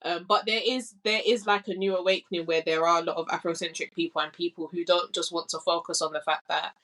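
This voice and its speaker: A woman's voice: on the low side, fairly even intonation, fast talker, fairly bright tone, rough recording. A young woman with a London accent, a guest in a casual podcast interview, speaking thoughtfully and earnestly with frequent "like" and "you know". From England.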